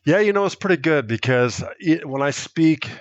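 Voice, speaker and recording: A man's voice: on the high side, moderate pace, dry recording, dull audio. A man with an American accent who was raised partly in Germany, chatting casually and filling his talk with "you know" as a guest on a remote call. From born in Spain, raised in Germany and Nebraska. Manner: relaxed, chatty, many "you know" fillers. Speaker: interview guest, adventurer and filmmaker. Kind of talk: casual interview chat. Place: at home in Denver, recording remotely.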